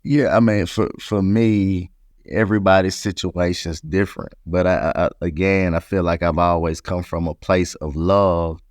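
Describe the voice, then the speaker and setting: A man's voice: deep voice, fairly even intonation, moderate pace, dry recording, studio quality. A man from Atlanta, Georgia, speaking as an interview guest and reflectively telling his own story. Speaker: interview guest, company president.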